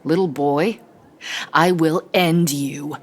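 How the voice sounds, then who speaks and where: An actress playing a bossy, sarcastic, short-tempered sister in a comedic audio play, exasperated and biting. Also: female voice, low voice, fairly even intonation, slow. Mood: menacing, commanding. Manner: biting, exasperated, foul-mouthed.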